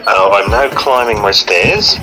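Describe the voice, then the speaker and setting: A man's voice: on the low side, unhurried, loud music behind the voice, low-quality recording. A man chatting casually on a call, in short replies to his friend. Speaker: friend on a walkie-talkie call. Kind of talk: casual chat.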